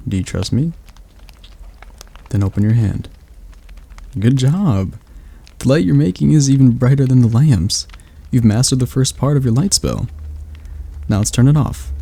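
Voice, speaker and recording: A man playing a gravelly, soft-spoken demon tutor. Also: on the low side. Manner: slow, soft, coaxing instruction. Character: patient demon magic tutor, male, calm and reassuring. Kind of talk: ASMR roleplay.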